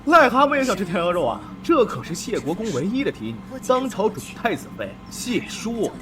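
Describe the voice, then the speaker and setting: Casting: quick, high-voiced toady, fawning and sneering, for animated costume drama. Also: male voice, over music. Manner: exaggerated, obsequious. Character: fawning, sneering male underling of ancient China. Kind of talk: animated period drama acting.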